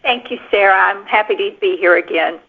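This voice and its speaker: Female author interviewee, noticeable vocal fry, phone-band sound. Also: medium pitch, fairly quick. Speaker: novelist guest. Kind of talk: interview answers. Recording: phone-like radio-sounding line.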